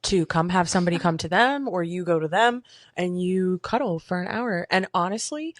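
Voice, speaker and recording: A young woman with a naturally deep, low voice talks openly and casually as a podcast guest. She rambles a little, laughs often, and the close mic sounds completely dry. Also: lively intonation, moderate pace, no echo. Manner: candid, chatty, laughs easily. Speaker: podcast guest, boudoir photographer. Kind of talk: casual chat.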